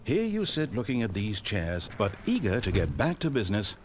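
A man's voice: lowish voice, wildly swooping pitch, normal speed, slight background noise. A man playing an omniscient, sardonic video game narrator, articulate and condescending, toying cruelly with his character. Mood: wry, storybook calm. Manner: theatrical, mocking, condescending, articulate.

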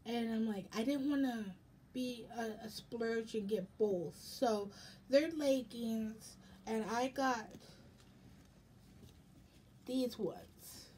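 A young American woman with a fairly high, slightly raspy voice talks casually to camera. She rambles and halts at a slow pace. There is clear background noise in the room. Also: dark tone. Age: young adult. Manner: rambling, halting. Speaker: vlogger. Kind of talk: casual haul vlog chat. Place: indoors in a home room.

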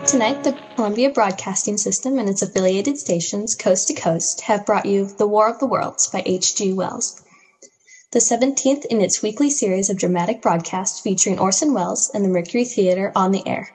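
A woman's voice: mid-range voice, moderate pace, darkish tone, close to an everyday voice. A woman playing a formal 1930s network radio announcer with declarative delivery. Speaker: radio announcer in a drama club play. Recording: long reverberant hall echo.

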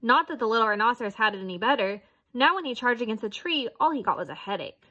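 A woman's voice: a little high, animated, fast talker, pushed and bright, muffled recording. A woman reading a children's picture book aloud as narrator. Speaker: storybook reader.

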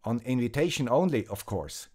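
A methodical man with a Swiss German accent walks through a tech build step by step. His pitch rises and falls a lot as he explains. It is a measured, scripted voice-over. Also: animated, darkish tone, husky. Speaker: electronics video host. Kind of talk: scripted tech tutorial narration.

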